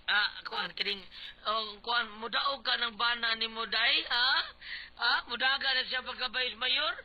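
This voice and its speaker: An actor playing a mocking, cackling neighbour in a comic Cebuano radio drama, taunting in an exaggerated way. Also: male voice, very high voice, steady tone, measured pace. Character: mocking, cackling neighbour who jeers at candidates. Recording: dull lo-fi radio broadcast.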